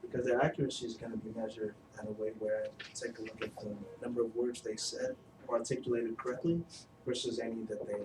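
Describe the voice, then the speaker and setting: A man co-leading a staff training session, explaining the scoring in a measured way and correcting himself as he goes. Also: medium pitch, flat delivery. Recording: distant, echoey, noisy room.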